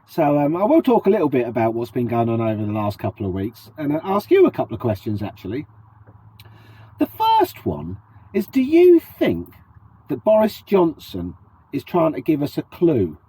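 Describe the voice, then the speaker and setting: A middle-aged man with a British accent talks to camera, sniffly from a cold. His husky, mellow voice swings widely up and down as he throws rhetorical questions at the viewer. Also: medium pitch, huge pitch swings, normal speed, low-quality recording. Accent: British English. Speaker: vlogger. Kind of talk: casual to-camera chat.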